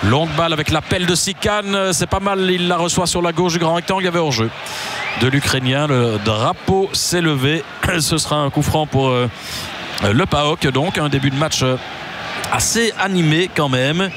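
Halting football commentator. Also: male voice. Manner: halting, frequent "euh" fillers, rising pitch on attacks. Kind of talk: live play-by-play commentary. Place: commentary position in a stadium. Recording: commentary over clear stadium noise.

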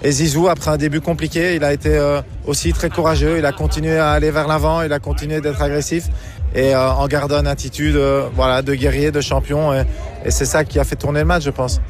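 Capitaine belge, voix aiguë et monotone. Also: male voice, fairly high pitch, fast talker, faint music behind. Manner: reflective, many fillers. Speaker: tennis team captain. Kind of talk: post-match interview.

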